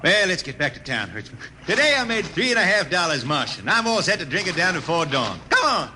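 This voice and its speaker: Casting: blind hillbilly space bum, Ozarks drawl, dreamy and stubborn, lively highish voice, noisy vintage radio. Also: male voice, a little fast. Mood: resigned. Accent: rural Ozarks American. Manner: folksy, wistful. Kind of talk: 1950s radio acting. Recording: noisy old 1950s radio broadcast.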